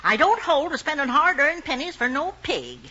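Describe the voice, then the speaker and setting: A woman's voice: sits high, very animated, moderate pace, sharp tone, slight background noise, full character voice. An actress playing a stern, thrifty farm wife and mother in an old rural drama, scolding in a Southern American accent. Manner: scolding, no-nonsense. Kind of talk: vintage rural screen drama acting. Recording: dull old film soundtrack.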